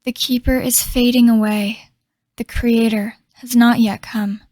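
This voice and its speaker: A woman playing a solemn storyteller, narrating a fantasy game legend in a measured way. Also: on the high side, fairly even intonation, darkish tone, close to an everyday voice.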